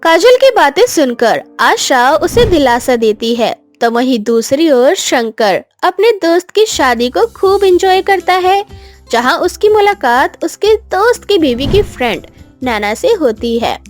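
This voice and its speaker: A woman narrates a moral story from a script. Her voice is high and very animated in pitch, with faint music underneath. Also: measured pace.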